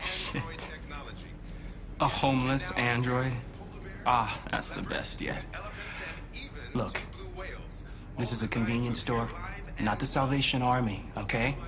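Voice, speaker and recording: A man's voice: mid-range voice, slow pace, long pauses. A surly shop clerk in a naturalistic game drama. His mid-range voice is curt and sneering, dismissive of whoever he addresses, with music playing behind. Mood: scornful, annoyed. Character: surly, dismissive male convenience store clerk. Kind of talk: naturalistic video game acting.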